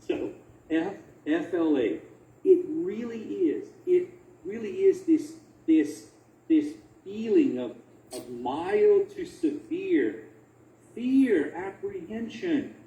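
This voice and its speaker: A male researcher presents in a conversational but emphatic way, with very lively swings of pitch and an unhurried pace. He repeats phrases for effect and poses rhetorical questions. His voice is soft-edged and mellow. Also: creaky. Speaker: academic researcher presenting a talk. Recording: roomy echo, faint background noise.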